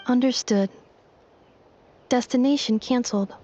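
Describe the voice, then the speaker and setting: A woman's voice: a little high, measured pace, warm tone, muffled recording. A woman voicing a polite, precise, emotionless female robot in a video game, with clipped formal phrasing. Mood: dutiful.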